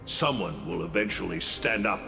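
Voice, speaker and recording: A man's voice: low-pitched, animated, moderate pace, gravelly. A man voicing a proud, bitter older warrior mentor in an anime-style game, grave and defiant. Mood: grave, resolute. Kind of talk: dubbed anime game dialogue. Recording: long reverb, game music behind.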